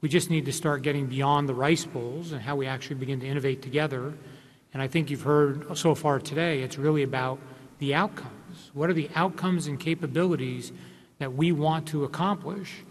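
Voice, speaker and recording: Guy in his 40s at a panel table, earnest, keeps restarting, slightly high voice, big echoey hall. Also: fairly even intonation, moderate pace. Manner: earnest, explanatory, with frequent restarts. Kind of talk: panel discussion remarks. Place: large conference hall, on stage at a panel table. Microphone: table microphone. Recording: long reverb of a large hall.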